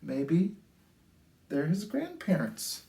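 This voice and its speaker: A man hosting a children's storytime, reading picture books aloud in an expressive, sing-song way with drawn-out phrases. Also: fairly high pitch, vocal fry, slight background noise. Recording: roomy, echoey indoor sound.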